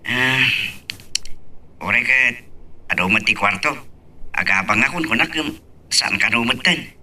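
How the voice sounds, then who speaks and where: Actor as bright, forceful dutiful young Ilocano man. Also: medium pitch, a little slow, distant mic, a little hiss or hum. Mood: respectful, easygoing. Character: dutiful, reassuring grown son in family love drama. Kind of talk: Ilocano audio drama acting.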